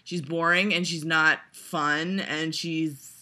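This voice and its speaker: Woman vlogger, ranting, sweary, lowish voice, bright and forceful tone. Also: fairly even intonation, slow. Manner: exasperated, profane, lots of fillers. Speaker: vlogger reviewing a trailer. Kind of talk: casual ranting reaction.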